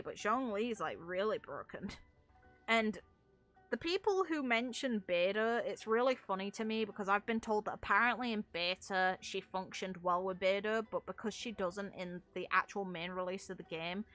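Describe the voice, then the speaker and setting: A woman's voice: medium pitch, a bit bright. A young woman giving casual, opinionated game commentary in a blunt way, swearing freely. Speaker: gaming content creator.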